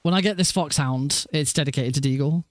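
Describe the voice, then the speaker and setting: A man with a naturally high voice, rambling casually and self-deprecatingly as he hosts a gaming livestream. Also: brisk, no room sound, studio quality. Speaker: livestreaming speedrunner and host. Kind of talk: casual livestream chat over gameplay.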